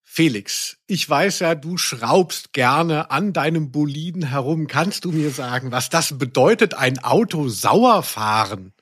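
A man's voice: fairly high pitch, lively intonation, a little slow, a bit bright, a little hiss or hum. A man co-hosting a podcast in humorous casual chat, wordy and mock-indignant. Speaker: podcast co-host.

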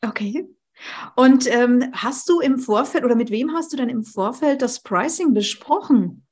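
Higher-voiced middle-aged woman interviewing. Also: lively intonation, moderate pace, darkish tone, husky. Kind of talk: conversational interview.

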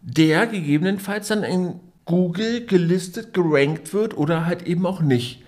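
Chatty man, higher voice. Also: moderate pace, darkish tone. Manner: storytelling, many fillers and restarts. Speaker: podcast co-host. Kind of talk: casual business podcast chat.

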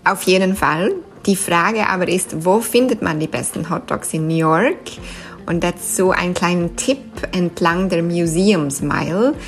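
A woman with a Swiss German accent answers interview questions in a fairly low voice. She thinks aloud, pausing on fillers and restarting her sentences. Soft music plays faintly behind her. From Switzerland. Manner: thoughtful, with frequent fillers and restarts. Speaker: interview guest.

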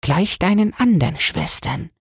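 A man's voice: high-pitched, expressive, a little slow, vocal fry, husky, dry recording, studio quality, voice pushed up, exaggerated voice. A man voicing an old mermaid grandmother, a proud, strict widowed queen in a fairy tale. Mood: fussing, proud.

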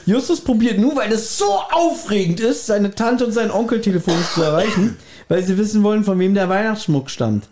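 A man's voice: high voice, lively intonation, fairly quick, background noise. A man hosting a podcast, giving a casual recap partly read from his notes, with playful irony. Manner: playfully ironic. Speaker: podcast host.

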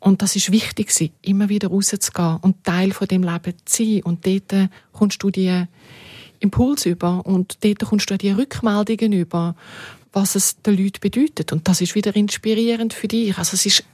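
A woman's voice: mid-range voice, steady tone, normal speed, darkish tone, dry recording, clean studio sound. A woman with a Swiss German accent reminiscing conversationally as an interview guest, emphatic and sure of her views. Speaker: interview guest, former radio producer.

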